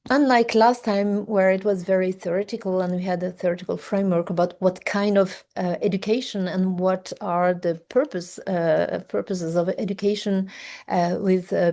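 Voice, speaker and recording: A woman opening an academic seminar as host, speaking English with frequent hesitant pauses. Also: medium pitch, dark tone. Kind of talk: seminar introduction. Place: seminar room, hybrid session.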